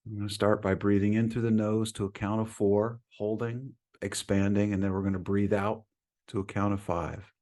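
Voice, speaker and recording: A man leading a guided meditation as a teacher, speaking in a calm, soothing manner with long pauses between phrases. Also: on the low side.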